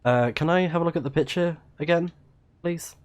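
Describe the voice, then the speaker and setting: A man livestreaming a video game, reading on-screen text aloud haltingly and muttering casual reactions. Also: on the high side.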